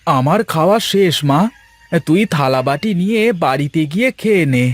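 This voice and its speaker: A man voicing a kindly, hardworking village farmer and doting father in a moral-story cartoon, speaking gently and affectionately. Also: on the high side, expressive, normal speed, no echo.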